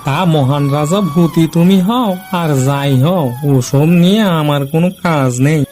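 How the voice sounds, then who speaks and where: A man's voice: fairly high pitch, unhurried, a little mellow, a little hiss or hum, lightly acted. A man voicing a cheeky, fearless schoolboy in a cartoon, talking in a defiant, dismissive way. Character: cheeky fearless schoolboy who is bad at maths. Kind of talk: cartoon character dialogue.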